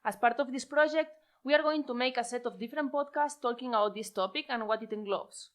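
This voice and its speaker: A woman's voice: a little high, fast talker. A woman hosting a podcast, introducing the topic and asking her guests questions with light hesitations. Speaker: podcast host.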